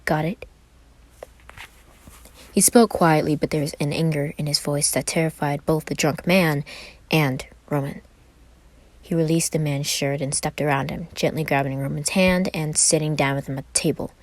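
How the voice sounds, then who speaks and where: A woman's voice: low voice, fairly even intonation, moderate pace, darkish tone, no echo. A woman who hosts and reads fan fiction, moving between casual chat and story narration. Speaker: podfic reader and channel host.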